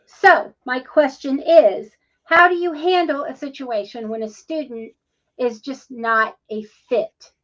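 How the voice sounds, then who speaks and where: Female co-host, rambling and chatty, slightly high voice, muffled sound. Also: takes it slowly, a bit bright. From Ohio, USA. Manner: chatty, rambling, with many ums. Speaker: podcast co-host. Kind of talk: casual interview conversation.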